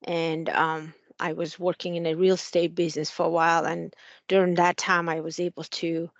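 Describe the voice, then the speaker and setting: A woman's voice: on the low side, flat delivery, moderate pace, gravelly, no echo, muffled recording. A woman in her forties from Mongolia with a Mongolian accent, an Air Force officer answering interview questions earnestly with many fillers. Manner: frequent fillers, friendly and earnest.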